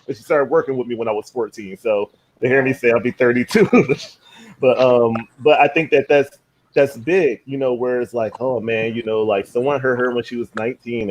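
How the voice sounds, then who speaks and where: A man's voice: mid-range voice, dull audio, slight background noise. Playful man hosting a live stream. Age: 30s. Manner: relaxed and playful, laughs easily. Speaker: host. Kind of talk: casual live-stream talk.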